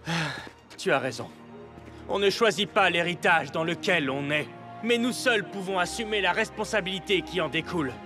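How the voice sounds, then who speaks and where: An actor voicing an eager, theatrical young prince in a cartoon dub, grandiose and excitable. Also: male voice, sits high, normal speed, music in the background, natural voice.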